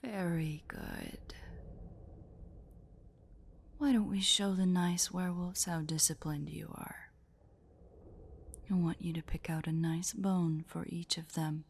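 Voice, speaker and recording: A woman voicing a possessive, sadistic spider-woman in a roleplay audio drama, smugly playful, with breathy, teasing, condescending coos over music. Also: deep voice, steady tone, slow, pauses often, a little hiss or hum, exaggerated voice. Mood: cooing, commanding.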